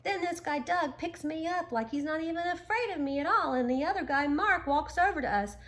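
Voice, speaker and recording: A woman's voice: high-pitched, a little fast, fairly bright tone, slight background noise, voice pushed up. A woman voicing Timmy, a small, frightened young male turtle in a children's story who tells what happened to him. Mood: eager, telling.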